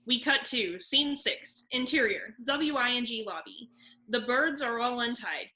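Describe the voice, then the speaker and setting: A woman narrates stage directions from a script at a table read. Her voice is bright and crisp-edged, sitting a little high. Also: lively intonation, unhurried, rough recording.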